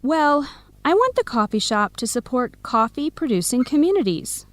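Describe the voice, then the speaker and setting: A woman's voice: mid-range voice, dry recording, close to an everyday voice. An actress playing an earnest, playful young woman with coffee shop dreams in an educational radio drama.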